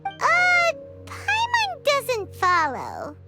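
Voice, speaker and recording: A woman voicing a small, excitable fairy-like girl companion in a video game, squeaky, childlike and given to exclaiming. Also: very slow, heavily acted. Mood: indignant, puzzled. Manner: speaks of herself in third person, exclaiming. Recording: loud music mixed behind. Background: loud children's game music.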